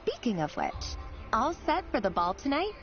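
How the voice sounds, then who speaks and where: An affectionate young sweetheart in a video game. Her voice is fairly high and very lively, coaxing and teasing. Music plays under the slightly muffled audio. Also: moderate pace. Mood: playful, teasing. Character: tender, playful young woman, devoted sweetheart.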